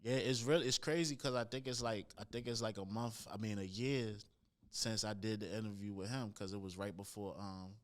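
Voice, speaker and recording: A young man with a nasal voice, a rapper guest in a casual interview, telling stories in relaxed slang on a studio mic. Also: fairly low pitch, a little fast. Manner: laid-back slang-heavy storyteller. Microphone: studio podcast mic near the nose.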